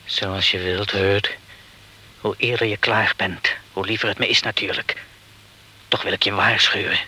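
A man playing a genial but cautioning associate in a crime radio drama, speaking in a measured, advising way. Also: on the low side, fairly even intonation, pushed and bright, a little hiss or hum, rough recording.

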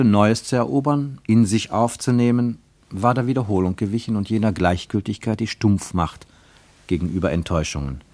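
An actor playing the sober narrator of a literary travel story, reading scripted narration in a German radio play. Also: male voice, medium pitch, a little mellow, no room sound.

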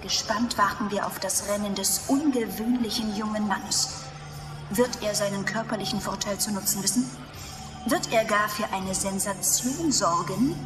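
A woman's voice: medium pitch, normal speed, lightly acted. A woman playing a theatrical sports reporter in a German radio drama, delivering dramatic commentary. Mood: suspenseful. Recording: echoey, music behind.

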